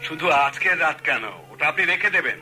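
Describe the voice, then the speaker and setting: An actor playing a polite, eager middle-aged gentleman speaking on the telephone, brisk and courteous. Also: a little high, soft background music. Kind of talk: 1970s radio drama acting.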